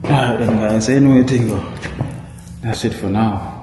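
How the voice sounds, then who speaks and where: A man's voice: fairly low pitch, moderate pace. A man chatting casually to camera as a vlogger, laid-back and easygoing, mixing patois with plain English. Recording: roomy hall echo with music.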